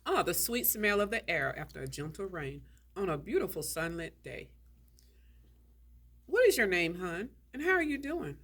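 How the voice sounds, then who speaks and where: A woman plays a prim older society lady in a stage play. Her voice is forward and sharp with a husky rasp, swinging widely as she speaks. She comes across tart and nostalgic. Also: lowish voice, big pitch swings, moderate pace, exaggerated voice. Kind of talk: stage play table read.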